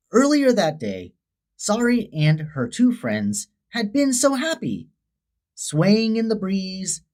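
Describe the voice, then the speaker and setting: Very animated woman reading a children's story. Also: mid-range voice. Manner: dramatic, expressive storytelling. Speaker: storybook narrator. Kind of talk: scripted children's story read-aloud.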